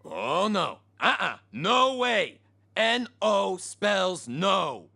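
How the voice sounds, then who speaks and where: A man voicing a grumpy, stubborn, proud monster sidekick with a gravelly, slangy, indignant voice in a cartoon audio drama. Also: high voice, sing-song, extremely slow, sharp tone, full character voice. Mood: stubborn refusal. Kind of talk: animated audio drama acting.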